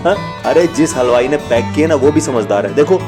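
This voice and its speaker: A man's voice: mid-range voice, normal speed, over music, lightly acted. A man playing an emotional merchant and devoted friend in an audio drama, speaking expressively. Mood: warm, grateful. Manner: expressive, repeats words emphatically. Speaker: voice actor. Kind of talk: audio drama acting in Hindi.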